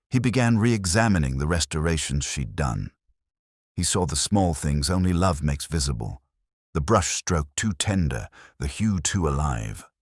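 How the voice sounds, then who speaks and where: A man playing a melancholy detective who recalls a case in measured, literary first-person mystery narration. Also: rumbling low, slightly rough, no echo, studio quality, exaggerated voice. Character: melancholy older male English police detective recalling a case. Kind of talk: scripted first-person mystery narration, radio drama style.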